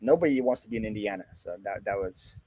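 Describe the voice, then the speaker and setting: A man's voice: on the low side, lively intonation, quick pace, dark tone, breathy edge, no echo. A man in his thirties from Ukraine with a Ukrainian accent tinged with Philadelphia, hosting a casual chat and joking and laughing at his own lines. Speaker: host.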